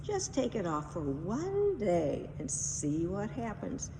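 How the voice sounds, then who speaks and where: A woman's voice: medium pitch, wildly swooping pitch, slow pace. A woman voicing a worried, pleading daughter in a storybook read-aloud. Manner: pleading, concerned. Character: worried, pleading grown daughter of an old man. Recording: distant, echoey hall with faint noise.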